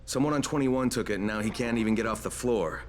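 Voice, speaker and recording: A man's voice: on the low side, fast talker, slight background noise, natural voice. A man playing a tough young survivor in a video game, speaking in a firm, terse and insistent way. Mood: businesslike. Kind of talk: video game cutscene dialogue.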